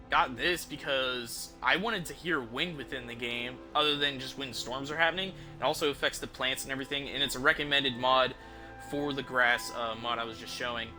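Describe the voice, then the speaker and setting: A man giving casual live stream commentary as a game streamer, talking in an informal, enthusiastic, rambling way. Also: medium pitch.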